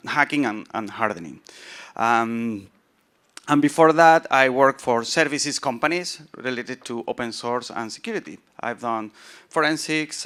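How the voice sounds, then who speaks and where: A man with a southern Spanish accent speaks as a presenter at a technical conference. His voice is clean and resonant, at a medium pitch. He talks informally and haltingly, often repeating words as he goes. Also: fairly bright tone, smooth. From southern Spain. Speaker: conference speaker, software founder.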